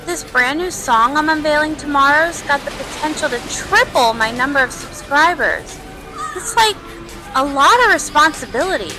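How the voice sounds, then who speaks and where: Woman as a high, sassy princess pony, music behind. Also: expressive, moderate pace, low-quality recording. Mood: self-satisfied. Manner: whiny, sassy, exasperated. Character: self-absorbed young pop princess pony, dramatic and sassy. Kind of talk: cartoon voice acting in a comic read-along.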